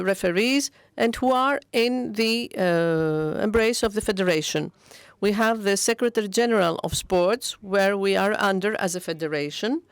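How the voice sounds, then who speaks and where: A woman interpreting a speech into English at a conference, steady but with frequent hesitations and self-corrections. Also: medium pitch, clean tone, no echo.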